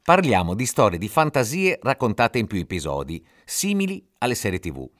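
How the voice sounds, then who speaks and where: A man's voice: on the low side, huge pitch swings, a little fast, loud music behind the voice. A man hosting a podcast, giving scripted explanatory narration in a didactic way, speaking directly to the listener. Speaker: podcast host.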